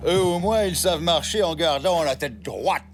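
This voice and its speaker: A man's voice: on the high side, lively intonation, normal speed, soft background music, natural voice. An actor voicing a snobbish, pampered male house dog who talks down to others in a haughty, disdainful way. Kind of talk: French cartoon dubbing.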